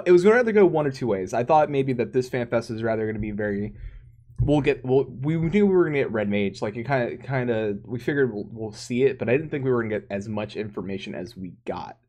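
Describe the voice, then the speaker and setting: Male podcast co-host, mid-pitched, casual chat, stop-start and rambling, laughs a lot. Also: animated, a little fast, darkish tone.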